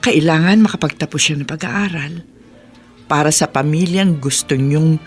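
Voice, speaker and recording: A caring, firm middle-aged mother voiced by an actress in a radio drama. She speaks in a measured, earnest, motherly way. Gentle music plays behind her. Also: low voice, close to an everyday voice. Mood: gently firm.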